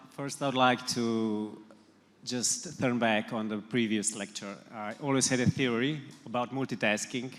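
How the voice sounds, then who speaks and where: A man's voice: on the low side, a bit bright. A man moderating a conference stage talk, asking loose questions with a joking edge. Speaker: conference moderator, company director. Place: conference hall. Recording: echoey conference hall.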